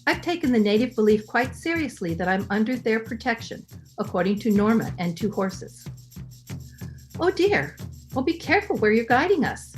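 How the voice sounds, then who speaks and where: Woman as theatrical devout wife, highish pitch, very expressive, old-time radio drama. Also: moderate pace, soft background music. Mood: earnest, solemn. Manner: earnest, theatrical. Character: earnest, superstitious young wife in peril. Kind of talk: 1930s radio melodrama acting.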